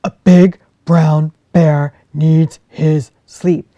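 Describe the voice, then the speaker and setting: Big bear guy played by a lady, slow and loud, kinda grumpy but soft. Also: low-pitched, flat delivery, dry recording, dull audio, voice pushed down. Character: big brown male bear, grumpy then kind.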